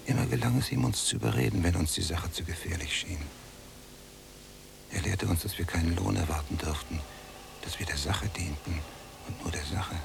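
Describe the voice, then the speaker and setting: An actor playing a resentful, long-confined prisoner in a radio play, brooding and deliberate, often hushed and gravelly. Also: male voice, low voice, lively intonation, noisy background, exaggerated voice. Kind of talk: literary radio play acting, monologue and dialogue.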